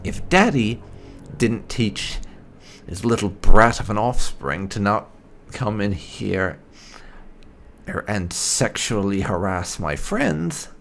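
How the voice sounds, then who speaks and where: Low male voice, gravelly fry, sardonic gamer roleplay and commentary, faint background noise. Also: expressive, takes it slowly, fairly bright tone. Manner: sardonic, reading lines in character. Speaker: gaming video creator playing a roleplay character. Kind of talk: in-character roleplay mixed with casual game commentary.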